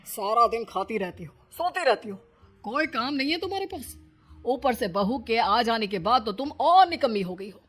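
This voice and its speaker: A woman's voice: a little high, expressive, moderate pace, fairly bright tone. A woman voicing a harsh, bullying older father-in-law who scolds in a cartoon moral story. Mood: scornful scolding. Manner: scolding, domineering. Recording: music under the voice.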